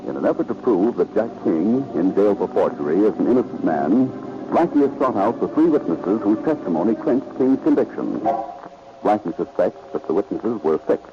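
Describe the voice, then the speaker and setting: A man's voice: lowish voice, big pitch swings, normal speed, over music. A male announcer reading scripted story narration in 1940s radio style. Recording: noisy, muffled old radio recording.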